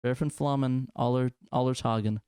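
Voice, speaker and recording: Man, show host, smooth clear voice, dry close sound, casual, jokey, halting delivery. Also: medium pitch, steady tone, darkish tone, dry recording. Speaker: host of a gaming video show. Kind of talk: casual group chat.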